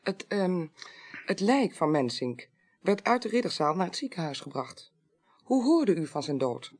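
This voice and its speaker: An actress playing a sharp-minded, pedantic court clerk in a radio play, precise, analytical and assured. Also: female voice, fairly low pitch, sing-song, measured pace, breathy edge, heavily acted. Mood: inquiring. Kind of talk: 1980s Dutch radio play acting.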